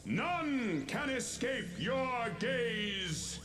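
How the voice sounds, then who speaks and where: A woman's voice: fairly high pitch, sing-song, full character voice. A woman playing a grand herald who declaims names of power in a dramatic children's audio story. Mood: grand, exultant. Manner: declamatory, drawn-out proclaiming. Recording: loud music behind the voice.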